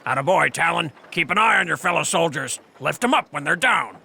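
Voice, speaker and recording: An actor playing a gruff, boisterous older veteran hero in an audio drama, with a raspy voice and hearty, coaching manner. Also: male voice, a little high, expressive, fairly quick, sharp tone, full character voice. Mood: hearty, awkward. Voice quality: gravelly, raspy. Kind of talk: audio drama character acting.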